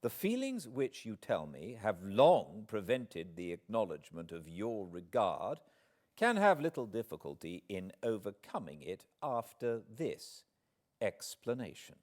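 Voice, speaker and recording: A man voicing a spirited, indignant young English gentlewoman with an emphatic, articulate, accusing delivery in an audiobook. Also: fairly low pitch, huge pitch swings, measured pace, full character voice. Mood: cold, controlled. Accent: British.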